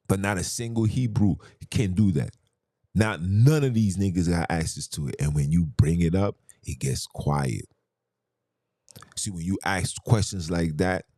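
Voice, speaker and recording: A man's voice: low-pitched, dark tone, dry recording, clean studio sound. A man hosting a podcast, arguing in a heated monologue full of rhetorical questions and emphatic repetition. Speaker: podcast host.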